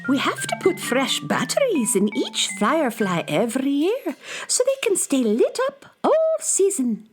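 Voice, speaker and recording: A woman's voice: sits high, wildly swooping pitch, a little slow, faint music behind, heavily acted. A woman playing a chirpy, friendly little fairy in a children's audio drama. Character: tiny red-haired female fairy, chirpy, busy, friendly.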